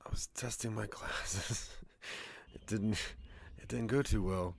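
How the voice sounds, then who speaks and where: Male actor as woozy, injured man for audio drama; slurred, stammering, breathy and sorry. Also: fairly low pitch, slow, dry recording, exaggerated voice. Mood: fading, breathless. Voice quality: slurred, thick-tongued. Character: dazed snakebitten man, slurring, apologetic, fading.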